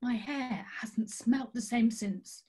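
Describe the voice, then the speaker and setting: A woman's voice: fairly high pitch, slow pace, a little mellow, breathy edge. A woman acting an eccentric, grandiose tarot reader in a comic stage scene, with pompous mystical pronouncements. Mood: theatrical, airy.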